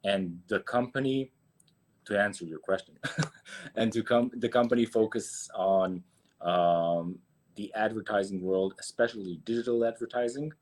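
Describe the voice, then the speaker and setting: A man's voice: lowish voice, measured pace. A man from Israel with an Israeli accent, speaking English as a guest in a casual interview, hesitant with many restarts. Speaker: guest, agency founder.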